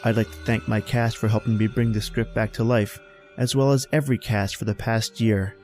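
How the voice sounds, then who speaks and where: A man's voice: mid-range voice, mellow. A man reading a scripted outro as a podcast host.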